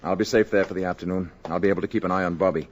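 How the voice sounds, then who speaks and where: Guy playing a calm alien visitor, super deep voice, solemn, old radio drama. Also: highs cut off, a little hiss or hum, close to an everyday voice. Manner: measured, solemn, urgent at times. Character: calm grave alien visitor in human guise. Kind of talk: 1950s radio drama acting. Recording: old radio broadcast recording.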